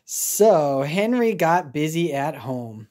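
A woman's voice: sits low, very animated, slow pace, warm tone. An American woman teacher reading a children's picture book aloud, expressively, with simple asides and reactions for young learners.

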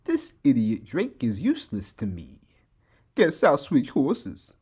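A woman's voice: rumbling low, huge pitch swings, slow, mellow, slightly rough, heavily acted. A woman playing a brash, scheming turncoat musician villain with a sly, mocking manner in a fan-made manga drama.

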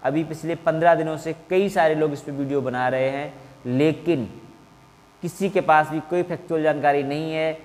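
Higher-voiced man, echoey room. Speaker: exam coaching teacher and channel host. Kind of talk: earnest appeal to camera for exam candidates. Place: small indoor classroom in front of whiteboard. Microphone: clip-on lapel mic. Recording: reverberant, hard-walled room.

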